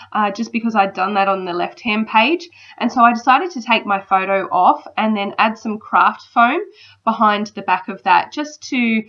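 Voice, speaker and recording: A woman in her thirties with a slight cold, chatting casually in a voice-over. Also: medium pitch. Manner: chatty, relaxed, frequent ums. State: battling a cold. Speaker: crafter narrating a process video. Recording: slightly dull lo-fi sound.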